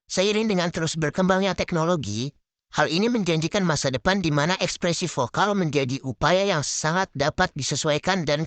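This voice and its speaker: Young man, slightly high voice, formal measured narration. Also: fairly bright tone, dry recording. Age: young adult. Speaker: student presenter. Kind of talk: scripted narration.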